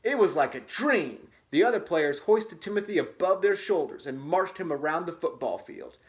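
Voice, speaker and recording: A man with a slightly higher-pitched voice reads a children's storybook aloud in dramatic fashion. He drops into casual, wry asides with a tongue-in-cheek manner. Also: lively intonation, normal speed. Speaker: host reading a children's book aloud with asides. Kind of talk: dramatic storybook reading broken by casual joking commentary.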